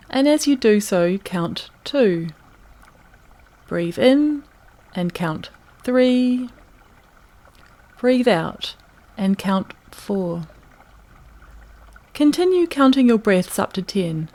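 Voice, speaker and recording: A woman narrating a guided meditation in a calm, gentle, unhurried manner, leaving long pauses between phrases. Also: medium pitch.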